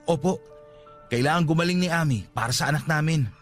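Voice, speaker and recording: Radio drama lead: actor as devoted young Filipino man, fairly high voice, patient, gentle, respectful, music beneath. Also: fairly quick, close to an everyday voice. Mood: earnest, respectful. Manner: soft-hearted, earnest, respectful.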